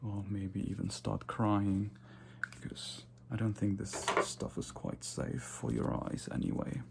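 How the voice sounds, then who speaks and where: Man playing a low, slow, haughty vampire. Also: expressive, gravelly, heavy background noise. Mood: fussy, condescending. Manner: soft, slow, deliberate, with whispered asides. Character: haughty, domineering, playful male vampire lord pampering his captive. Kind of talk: ASMR roleplay.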